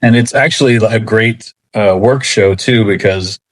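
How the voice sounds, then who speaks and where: A man chatting casually as an interview guest, talking about his work in a halting, laid-back way. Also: on the low side. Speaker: interview guest, comic book writer.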